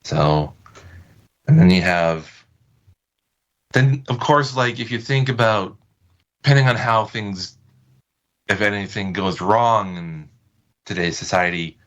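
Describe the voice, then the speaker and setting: A man's voice: fairly low pitch, animated, slow, pauses often, fairly bright tone, muffled recording. An American man chatting casually as a podcast guest, wording his points loosely and tentatively. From United States. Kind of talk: casual interview chat.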